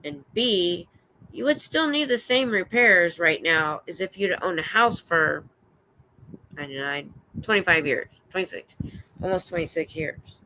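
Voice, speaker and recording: An older American woman hosting a livestream, chatting in a rambling, excitable way. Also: on the low side, measured pace, poor audio. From United States. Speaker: livestream host. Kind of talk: casual chat. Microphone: streaming setup.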